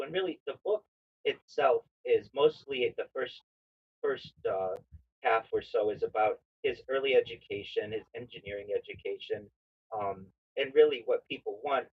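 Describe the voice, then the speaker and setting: A man streaming a casual explainer monologue, halting with frequent fillers and restarts. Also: on the low side, husky, muffled recording. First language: not Hebrew.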